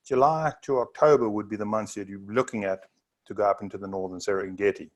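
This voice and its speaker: A man's voice: fairly low pitch, steady tone, fast. A South African man, a Zulu first-language speaker, presenting a webinar as a safari tour operator in a loose, rambling way with frequent asides.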